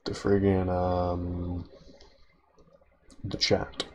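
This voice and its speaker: A man's voice: low-pitched, monotone, drawn-out words, highs cut off, a little hiss or hum. A man streaming from home, a little nasal while recovering from an illness, rambling to himself as he sets up his stream. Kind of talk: casual stream setup chatter.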